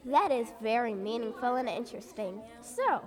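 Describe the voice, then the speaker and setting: A woman's voice: fairly high pitch, big pitch swings, moderate pace, crowd noise. A woman hosting a mock interview show, upbeat and scripted. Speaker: host.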